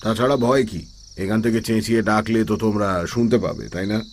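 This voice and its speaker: Man acting a deep-voiced, solemn ascetic holy man. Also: darkish tone, a little hiss or hum, lightly acted. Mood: calm, firm. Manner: halting, solemn, measured delivery with pauses. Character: resolute ascetic holy man, grave and mysterious. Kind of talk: dramatised audio horror story acting.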